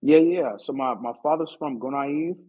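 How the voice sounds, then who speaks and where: An American man speaks as a podcast guest in a husky, mid-pitched voice. His delivery is casual and halting, with repeated phrases and check-ins. The recording is a little dull and lo-fi. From Orlando, Florida, United States. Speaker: podcast guest, martial arts instructor. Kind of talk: casual conversational storytelling.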